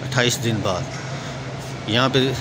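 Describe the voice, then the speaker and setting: A man's voice: mid-range voice, takes it slowly. A man with a Pakistani accent giving a plain, step-by-step explanatory walkthrough as a guide. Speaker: vlogger and guide. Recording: very noisy, traffic and ventilation hum.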